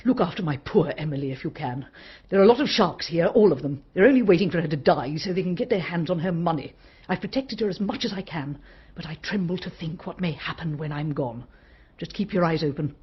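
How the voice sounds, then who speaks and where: A muffled radio-drama recording of an outspoken British woman of middle years. Her voice is husky and her delivery emphatic, that of a fiercely loyal country-house companion who never minces words. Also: deep voice, a little fast. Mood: urgent warning. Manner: forthright, emphatic. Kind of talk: period radio drama acting.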